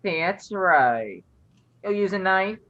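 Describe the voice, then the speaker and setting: A woman's voice: medium pitch, animated, slow, a bit bright. A woman playing a curt, commanding male criminal in a suspense radio play, heard through dull, narrowed sound. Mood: curt, controlled. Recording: dull, band-limited sound.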